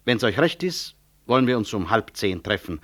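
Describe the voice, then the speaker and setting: Stubborn hospital director, low voice, expressive range, dry irony, courteous bluntness, 1960s radio drama. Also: male voice, moderate pace. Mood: stubborn, wry. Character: proud, stubborn male physician and hospital director.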